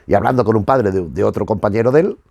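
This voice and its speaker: Low, creaky-voiced middle-aged Spanish man. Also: fast, darkish tone, no echo, muffled recording. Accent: Spanish from Spain. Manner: rambling, digressive, self-correcting. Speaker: host of a retro television review channel. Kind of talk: unscripted commentary.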